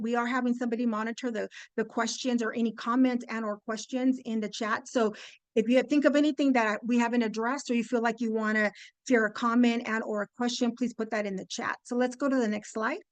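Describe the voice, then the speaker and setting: A woman's voice: a little high, fairly even intonation, fast talker, no room sound. A woman in her fifties whose first language is Spanish, giving a chatty, upbeat webinar talk full of fillers and restarts. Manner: upbeat, chatty, lots of fillers and restarts, addresses "you guys". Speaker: guest speaker. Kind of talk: webinar presentation. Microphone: video call.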